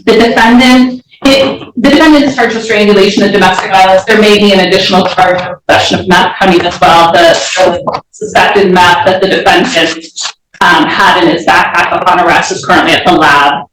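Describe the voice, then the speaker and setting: A woman's voice: mid-range voice, a little hiss or hum. Female prosecutor, mid-range voice, methodical courtroom argument, heavily reverberant and distant recording. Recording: very echoey courtroom, distant mic.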